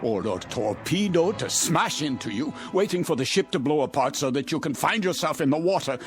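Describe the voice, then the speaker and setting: A man's voice: fairly high pitch, animated, natural voice. Man acting a frantic, doomed ship passenger. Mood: agitated dread. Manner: agitated, halting repetitions, rising to desperate cries. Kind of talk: audio drama acting. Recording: dramatic music under the voice.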